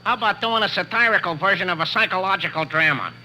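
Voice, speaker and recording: An actor playing a cheeky, unlettered working-class plumber with colloquial speech in old-time radio comedy style. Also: male voice, high-pitched, quick pace, bright, forceful tone, rough recording. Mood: matter-of-fact. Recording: humming vintage radio recording, faint noise.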